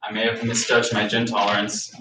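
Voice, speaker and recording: A man's voice: fairly low pitch, completely flat delivery, heavy background noise, lightly acted. Man acting earnest, flustered grad student, echoey room. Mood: apologetic. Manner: halting, deferential, formal wording. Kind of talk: staged play reading. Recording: echoey room, distant microphone.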